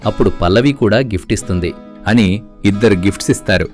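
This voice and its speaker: A man's voice: lowish voice, quick pace, a little mellow, faint music behind. A man reading scripted storybook narration.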